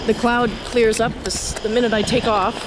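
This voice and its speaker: A woman's voice: on the high side, level tone, fairly quick. A woman giving casual, off-the-cuff walking commentary as a video host, chatty and rambling with wry asides to herself. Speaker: host and tour guide of a city walk video. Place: out and about at a London skyscraper and its streets. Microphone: handheld camera on a stick. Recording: noisy outdoor ambience with city traffic.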